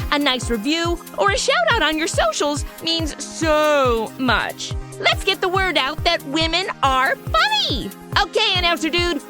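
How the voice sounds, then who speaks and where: A woman's voice: high voice, sharp tone, heavily acted. An actress playing a flippant, squeamish, vain young woman tourist in a comedy audio drama, bubbly and sarcastic.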